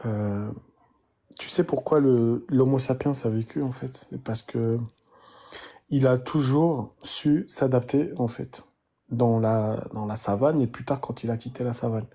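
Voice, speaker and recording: Un homme d'une trentaine d'années, invité d'une conversation sur la foi, parle en cherchant ses mots. Sa voix est légèrement voilée, un peu rauque. Il se reprend souvent et répète ses mots. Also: male voice, medium pitch, steady tone, mellow. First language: Arabic. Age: 30s. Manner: hesitant, halting, repeats words. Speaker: guest. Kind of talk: emotional conversation about faith.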